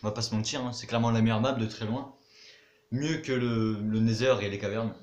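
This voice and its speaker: A man's voice: on the low side, fairly even intonation, a little fast, darkish tone, reverberant. A young man giving casual commentary over his own gameplay, chatty, muttering to himself and swearing now and then. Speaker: gaming YouTuber.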